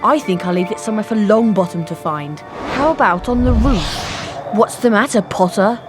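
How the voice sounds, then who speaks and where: A woman's voice: mid-range voice, normal speed, close to an everyday voice. A woman voicing an arrogant schoolboy bully in a video game, taunting and haughty. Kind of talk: video game cutscene acting. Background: music.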